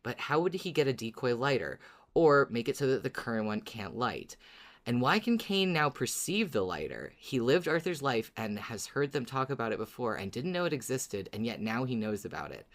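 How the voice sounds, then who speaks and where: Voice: mid-range voice, big pitch swings, moderate pace. A podcast co-host with an androgynous voice, chatting casually and reading out listener messages. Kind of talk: casual fan discussion and reading listener emails.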